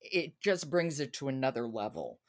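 A woman's voice: rumbling low, big pitch swings, moderate pace. Effusive woman hosting a book podcast. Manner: effusive, emphatic. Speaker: podcast host. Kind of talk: casual conversational book talk.

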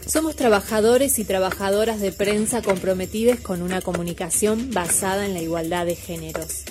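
A woman reading a public service announcement in a measured, listing delivery. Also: mid-range voice. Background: music.

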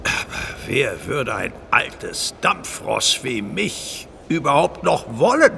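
Casting: proud old steam train for children's radio play, courtly, easily startled, creaky, swooping delivery. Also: male voice, mid-range voice, big pitch swings, slow, pushed and bright, slight background noise, full character voice. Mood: dejected. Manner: old-fashioned courtly speech with exclamations. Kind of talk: children's audio drama acting.

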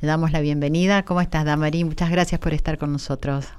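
A woman hosting a radio interview from a studio, speaking in a gentle, reflective way. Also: sits low, fairly even intonation, quick pace, smooth. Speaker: radio host.

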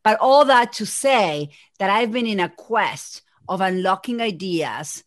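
A woman in her forties from Argentina, a native Spanish speaker with an Argentine Spanish accent, talking as a podcast guest and executive coach in an energetic, think-aloud way. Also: mid-range voice, unhurried, dry recording. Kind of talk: casual podcast conversation.

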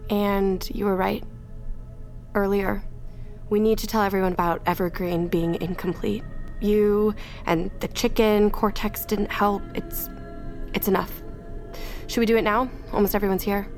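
A woman's voice: medium pitch, level tone, measured pace, soft background music, natural voice. An actress playing an earnest, caring young woman in a naturalistic fiction podcast, with a conversational delivery. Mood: relieved, earnest, then urgent.

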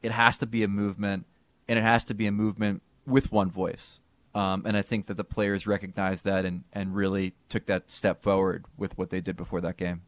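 Low-voiced man talking hockey. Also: brisk, no echo. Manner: animated, emphatic delivery with bursts of loudness. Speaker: podcast co-host. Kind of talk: casual sports talk.

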